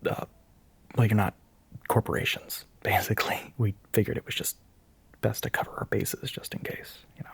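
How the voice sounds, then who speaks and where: Breathy, earnest young soft-boy spokesman played by a man. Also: lowish voice, fairly even intonation, whispered, exaggerated voice. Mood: awkward, polite. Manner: hesitant, halting, politely firm. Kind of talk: audio roleplay monologue, addressing the listener.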